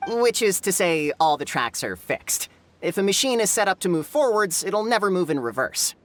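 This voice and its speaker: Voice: medium pitch, big pitch swings, moderate pace, a little hiss or hum, natural voice. An actor voicing an explainer character in a fantasy video game, with an explanatory manner. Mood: matter-of-fact. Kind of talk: English video game voice acting.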